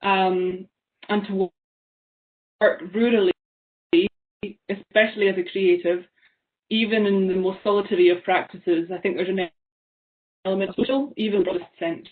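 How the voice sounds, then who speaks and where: A young Scottish woman presents thoughtfully from home, pausing often with ums. Her voice sits at an ordinary middle pitch. The sound is thin and narrow, like a laptop video call. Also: steady tone. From Isle of Lewis, Scotland. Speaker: seminar speaker. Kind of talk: online seminar presentation. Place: small room at home. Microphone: laptop webcam on a video call. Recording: tinny phone-like video call.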